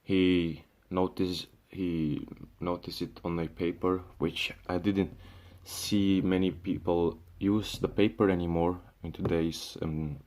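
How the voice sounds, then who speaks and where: Deep-voiced young man from Syria ranting. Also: fairly even intonation, slow pace, hardly any pauses, a little mellow. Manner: frequent restarts and fillers, swears, says "bro". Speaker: vlogger. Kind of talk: casual ranting monologue.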